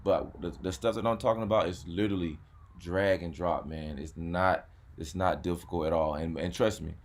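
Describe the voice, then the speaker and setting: Deep-voiced laid-back man. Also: fairly even intonation, moderate pace. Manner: laid-back, repeats words, says "man" a lot. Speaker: YouTube car channel host. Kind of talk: casual chat.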